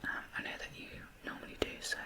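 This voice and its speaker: An ASMR host narrating true crime in a soft, unvoiced whisper, reflective and somewhat hesitant. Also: fast talker.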